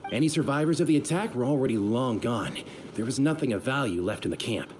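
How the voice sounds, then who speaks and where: An actor playing a nosy, excitable male mercenary in a game, eager and pushy. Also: medium pitch, fast talker, a little hiss or hum, close to an everyday voice. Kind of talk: video game cutscene dub.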